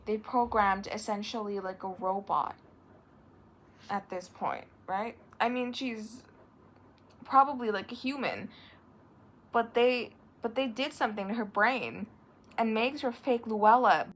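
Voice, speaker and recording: A woman with a light Thai accent chatting excitably and dramatically as she reacts to a book she is reading, full of restarts. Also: fairly high pitch, measured pace, slight background noise. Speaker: book vlogger. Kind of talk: casual reading reaction vlog. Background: faint background music.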